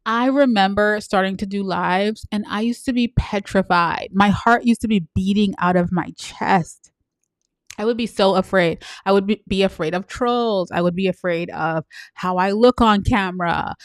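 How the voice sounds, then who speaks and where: A woman from New York City with a Trinidadian accent, coaching her audience live on a stream in an energetic, chatty way that keeps checking in with them. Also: medium pitch, normal speed, dry recording. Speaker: livestream coach.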